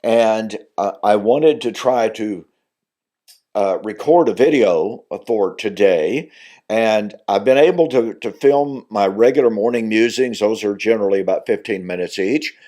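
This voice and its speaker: A man's voice: on the low side, measured pace, mellow. An older man, speaking as a minister teaching on video, his voice weakened from recovering after losing it, earnest and growing emphatic on key points. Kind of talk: Bible teaching monologue.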